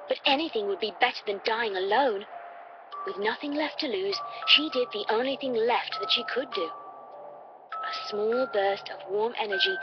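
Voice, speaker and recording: A woman reading a scripted story as a dramatic narrator. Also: medium pitch. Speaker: fan fiction reader. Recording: muffled lo-fi playback. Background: music.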